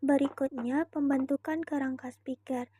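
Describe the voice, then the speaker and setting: A woman's voice: high voice, steady tone, moderate pace, mellow, dry recording, clean studio sound. A young woman from Indonesia giving a scripted, formal explanatory narration as a student presenter, methodical in her delivery. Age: young adult.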